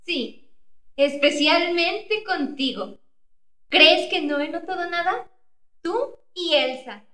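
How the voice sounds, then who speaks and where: A woman's voice: very high voice, expressive, slow pace, bright, forceful tone, echoey room, heavily acted. A woman playing a bossy, suspicious wife scared of burglars, nagging urgently and scornfully in a comedic radio play. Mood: scolding, scornful.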